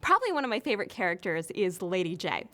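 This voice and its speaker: A woman's voice: medium pitch, very animated, fast, loud music behind the voice. Enthusiastic woman in an interview. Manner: enthusiastic, emphatic. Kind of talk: casual documentary interview.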